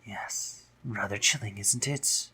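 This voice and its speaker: A man playing a teasing, dominant, courtly spider monster in an intimate audio roleplay, theatrical and breathy, often dropping into whispers. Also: fairly low pitch, takes it slowly, sharp tone, heavily acted. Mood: seductive teasing. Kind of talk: intimate scripted audio roleplay, addressing the listener.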